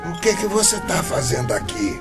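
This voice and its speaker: A man's voice: fairly high pitch, very animated, normal speed, over music. An actor with a Brazilian accent playing an earnest, stubborn, lovestruck eighty-year-old man in a radio drama. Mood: urgent, puzzled.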